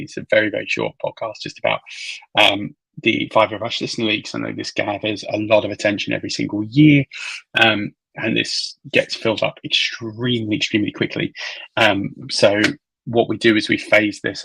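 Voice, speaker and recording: A podcast host with a low, gravelly voice that is still croaky from illness. His tone is bright and husky, and he talks casually with lots of fillers. The recording is dry and close. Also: dry recording, rough recording. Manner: rambling, filler-heavy explanation. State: croaky, recovering voice.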